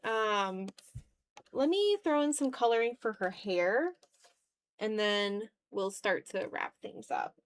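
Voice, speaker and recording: A tired woman chatting casually and rambling in a low-key way as she hosts a live art stream and draws. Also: very animated, slow. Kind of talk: casual livestream chat while drawing.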